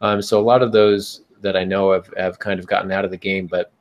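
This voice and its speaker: A man's voice: sits low, flat delivery, fairly quick. A man hosting a podcast, talking casually and giving advice to listeners. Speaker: podcast host.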